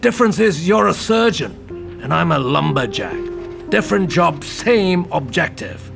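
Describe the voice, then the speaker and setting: A man playing a gruff, blunt, foul-mouthed senior police detective with a gravelly voice in an audio drama. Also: fairly high pitch, sing-song, a little slow, music in the background, full character voice. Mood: intense, persuading. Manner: blunt, persuasive, intense.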